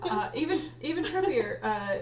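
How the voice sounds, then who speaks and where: A woman's voice: high-pitched, animated, normal speed. A woman chatting casually on a group livestream as a cast member. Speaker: audio drama writer and lead voice actor. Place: indoors on a group livestream call. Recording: echoey, distant mic with hum and noise.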